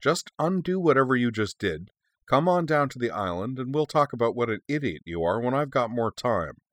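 A man's voice: on the low side, very animated, moderate pace, a bit bright, dry recording. A man voicing a sassy young female dragon in a story, with a sarcastic, snappy, defiant manner. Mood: indignant, sarcastic. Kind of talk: expressive storybook character reading.

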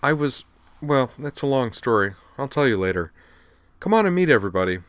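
A man voicing an earnest, easily flustered young woman with a halting manner in a story. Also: mid-range voice, sing-song, a bit bright, no echo, pitched above the performer's own voice. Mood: sheepish.

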